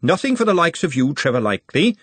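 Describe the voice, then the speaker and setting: A brisk young woman who rules her kitchen in a fantasy audiobook, voiced by a male narrator. She is blunt, bossy and scolding, with a raised, very animated pitch. The recording is dry and close. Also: slightly rough, no echo, heavily acted. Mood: brusque. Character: bossy, brisk, no-nonsense young female cook.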